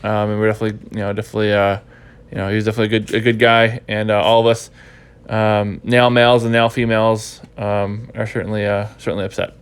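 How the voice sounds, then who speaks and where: A man's voice: fairly low pitch, flat delivery, fast, clean tone. Congested man hosting a podcast. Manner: rambling, repeats words while thinking. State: stuffy, congested. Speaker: podcast host. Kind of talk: casual podcast recap chat.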